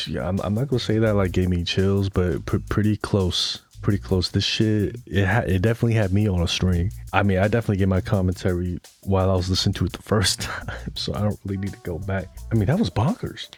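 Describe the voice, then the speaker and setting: A very low-voiced man speaks in loose, slangy reaction-video chat, swearing now and then. His voice is worn and hoarse from a long session of talking, and faint music plays behind him. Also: fairly quick. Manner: slangy, laid-back, swears casually. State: hoarse, tired voice. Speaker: music producer reacting to an album. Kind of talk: casual reaction commentary.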